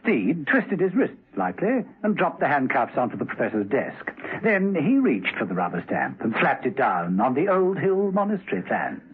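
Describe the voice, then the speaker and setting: A man's voice: fairly high pitch, sing-song, normal speed, hardly any pauses, breathy edge. A man narrating a scripted 1970s radio thriller in a dry, dramatic storytelling manner. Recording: tinny, muffled old radio recording.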